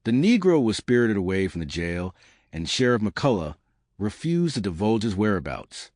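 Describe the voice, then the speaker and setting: A man with a slightly low voice reads newspaper reports as a narrator. His intonation moves widely within a formal news-reading style. The recording is dry and free of room sound. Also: very animated, normal speed, darkish tone, no echo.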